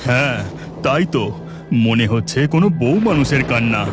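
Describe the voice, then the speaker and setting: A man's voice: mid-range voice, moderate pace, over loud music, natural voice. A man voicing a poor, devout village boatman in a cartoon story, earnest and exclamatory, with a Bengali accent. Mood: wondering, uneasy. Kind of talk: melodramatic cartoon story acting.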